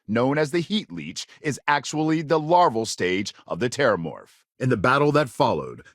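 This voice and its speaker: Polished, animated sci-fi news anchor. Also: male voice, mid-range voice, no room sound, clean studio sound. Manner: crisp, measured, emphatic newsreader phrasing. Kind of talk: scripted broadcast news delivery.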